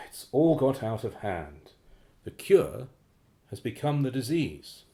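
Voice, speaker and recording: Male actor for secretive radio-play defector: courteous, hesitant, uneasy man with a soft-edged tone and swooping melody. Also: medium pitch, big pitch swings, slow pace, gravelly, exaggerated voice. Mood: confessional, uneasy. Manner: hesitant, formal, well-spoken. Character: secretive male operative betraying his shadowy organization, uneasy. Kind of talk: radio drama acting.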